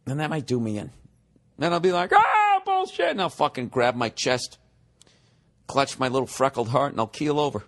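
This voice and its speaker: A man's voice: medium pitch, very animated, moderate pace, fairly bright tone. A man in his forties, a podcast host reading a listener email and ranting sarcastically and profanely about it. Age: 40s. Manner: mocking, exasperated. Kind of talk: comedic podcast rant.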